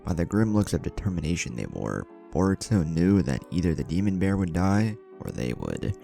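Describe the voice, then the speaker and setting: Really deep mellow guy narrating a story, voice moves around a lot, faint music behind. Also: big pitch swings, normal speed, gravelly. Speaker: narrator reading fan fiction aloud. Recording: music bed under the voice.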